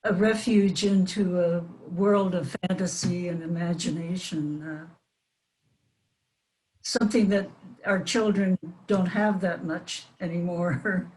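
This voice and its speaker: An American woman in her eighties giving brief, measured answers in a casual panel conversation. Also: fairly low pitch, steady tone, darkish tone. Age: 80s. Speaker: former child radio actress, convention guest. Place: remote virtual convention call. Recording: noisy call audio with clicks.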